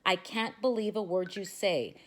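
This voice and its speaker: A woman's voice: mid-range voice, normal speed, a bit bright, close to an everyday voice. A woman voicing a strict female schoolteacher who scolds firmly. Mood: stern. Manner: firm, scolding.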